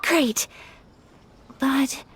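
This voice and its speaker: A woman voicing a gentle, polite young noblewoman who speaks softly and courteously. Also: high voice, very slow. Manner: soft-spoken, courteous, apologetic. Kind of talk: game voice acting. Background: game background music.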